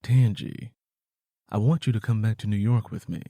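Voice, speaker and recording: A man's voice: lowish voice, normal speed, gravelly, dark tone, no echo, studio quality, pitched below the performer's own voice. A man playing a weary, affectionate man with an American Southern accent, laid-back and tender, in an audiobook. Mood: sincere. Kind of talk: audiobook character dialogue.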